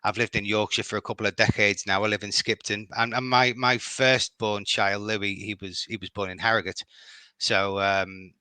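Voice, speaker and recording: Bright-voiced Liverpool man, dry close mic. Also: lowish voice, level tone, moderate pace, clear, resonant tone, no room sound. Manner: hesitant, repeats words, warm praise. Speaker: guest fan. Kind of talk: casual podcast chat.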